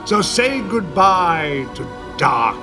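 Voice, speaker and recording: Eccentric time traveller hero in an audio drama, really slow teasing delivery, music behind. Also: male voice, sits high, big pitch swings, exaggerated voice. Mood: mocking, playful. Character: mischievous, eccentric heroic time traveller, a man.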